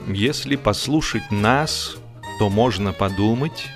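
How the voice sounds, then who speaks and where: A man narrating a humorous literary story in an expressive, theatrical way, with dramatic pauses and emphasis. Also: on the low side, music in the background. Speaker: audiobook narrator.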